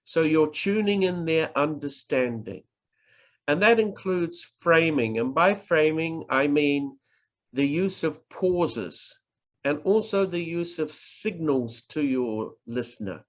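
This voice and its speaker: A man with a New Zealand accent giving a public speaking workshop, talking in a measured, deliberate way and stressing words to demonstrate. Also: fairly high pitch, animated. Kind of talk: slow instructional presentation. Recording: dull lo-fi recording.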